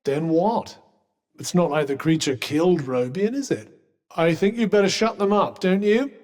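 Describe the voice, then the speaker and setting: A man's voice: high-pitched, normal speed, darkish tone, lightly acted. A man with a British accent voicing a haughty, erudite magical cuff in a fantasy video game, with polished diction and dry sarcasm. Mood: dry, needling. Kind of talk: scripted game companion dialogue.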